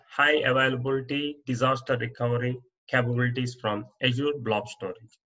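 A man reads through interview answers in a plain, steady voice-over. His tone is bright and clear. The recording sounds a little dull and lo-fi. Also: medium pitch. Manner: plain, steady reading with small restarts. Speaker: instructor. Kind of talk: reading interview answers off slides. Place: voice-over on slide presentation.

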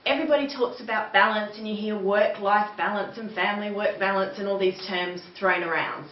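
A middle-aged woman with an Australian accent giving an informal webinar, explaining things in a conversational way. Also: mid-range voice. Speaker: webinar presenter. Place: small indoor room with bare wall, seated. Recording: roomy, distant mic, dull sound, faint noise.

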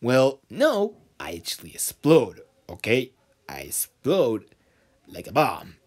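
Guy doing a hesitant explosive cartoon bird, slow and awkward, pitch jumps all over the place. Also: huge pitch swings, heavily acted. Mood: sheepish, halting. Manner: halting, awkward, hesitant with sudden bursts. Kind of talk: cartoon character voice reel.

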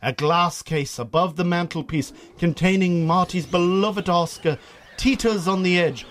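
A Northern Irish man narrates a scripted radio drama. His voice sits a little high for a man. He tells the story as the narrator between characters' lines. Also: unhurried, slight background noise.

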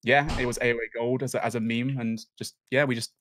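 A man in his twenties from England, a podcast guest telling stories on a video call, relaxed and laughing often. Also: medium pitch, fast, a little hiss or hum. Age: 20s. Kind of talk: casual podcast chat.

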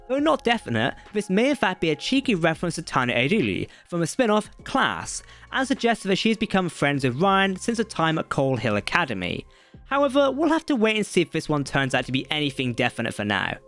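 Male narrator, high and very lively, faint music under the voice-over. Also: a little fast. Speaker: video narrator. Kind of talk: scripted fan-channel narration.

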